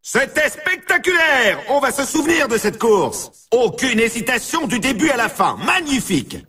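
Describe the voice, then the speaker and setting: An excitable arcade snowboard race announcer, pitched high and swinging widely. He is brash and showy, punching out exclamations with a bright, forceful edge. It is big video game hype from start to end. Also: moderate pace, husky, far from the mic, full character voice. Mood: hyped, congratulatory.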